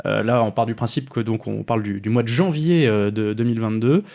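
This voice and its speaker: A man's voice: fairly low pitch, fairly quick, gravelly, a little mellow. A man co-hosting a casual film podcast, talking at length with many hesitations and restarts. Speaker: podcast co-host.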